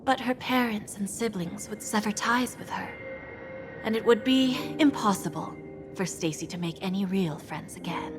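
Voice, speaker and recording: A woman acts as a composed, sly pawnbroker from a game story. Her mid-pitched voice is measured and insinuating, slipping toward a near-whisper. Music plays clearly behind her. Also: natural voice. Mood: coolly ominous. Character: sly, composed adult female pawnbroker dealing in desires. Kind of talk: scripted game dialogue, storytelling monologue.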